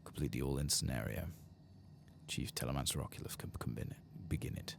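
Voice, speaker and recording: A soft-spoken man murmuring and whispering ASMR commentary as he plays a video game, reading some text aloud. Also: brisk, a little hiss or hum. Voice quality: low, gravelly, breathy murmur. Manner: reads quest text aloud softly. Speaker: streamer playing and narrating a video game.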